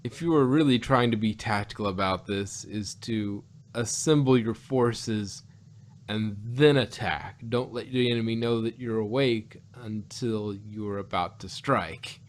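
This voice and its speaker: A man co-hosting a casual review podcast, rambling along haltingly and often repeating words as he goes. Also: on the low side, a little hiss or hum. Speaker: podcast co-host reviewing audio dramas.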